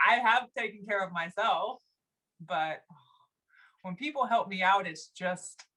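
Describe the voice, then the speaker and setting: A young adult woman from Texas, a singer talking as a guest in a casual conversation, laughing often and getting loud when excited. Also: fairly low pitch, rough recording. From Texas, USA.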